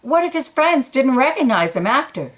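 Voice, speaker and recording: A woman reading a children's picture book aloud, gently pointing out details in the pictures between passages. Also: high-pitched, big pitch swings, moderate pace.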